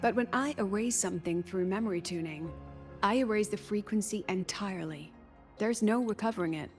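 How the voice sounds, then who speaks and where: A cool, eccentric female academy president from a video game, acted by a woman, with a mid-pitched voice. She is composed, deliberate and aloof, speaking in clipped statements over faint music. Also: a little mellow, close to an everyday voice. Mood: composed, explanatory. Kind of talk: scripted video game voice acting. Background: game music under the voice.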